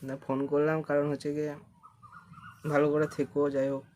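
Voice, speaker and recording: A man's voice: on the high side, completely flat delivery, a little fast, a little mellow, slight background noise. A young man in a casual phone conversation, coaxing and repeating himself as he pleads. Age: young adult. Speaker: prank caller.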